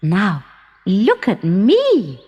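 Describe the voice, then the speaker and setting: A woman voicing a lively young dancing boy of the woods, playful and teasing, with a lilting delivery. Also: mid-range voice, extremely slow, highs cut off, noisy background, heavily acted.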